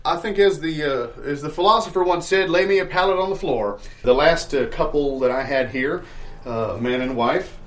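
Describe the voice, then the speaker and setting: A man playing an evasive, sales-hungry landlord in an improvised comedy sketch, with halting, stammering salesman patter full of euphemisms. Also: fairly high pitch, natural voice. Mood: rambling, reassuring. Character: evasive, sales-hungry male landlord showing shabby rental bungalow.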